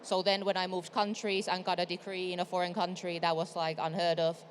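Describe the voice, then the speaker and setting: A woman's voice: fairly low pitch, little pitch movement, fairly quick. A woman from Finland with a Finnish accent, speaking on a conference panel in a chatty way with frequent fillers. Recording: reverberant hall, faint noise.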